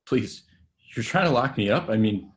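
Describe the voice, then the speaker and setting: A man's voice: fairly low pitch, lively intonation, moderate pace, close to an everyday voice. A man reading a desperate young male actor in a table read, stammering and pleading.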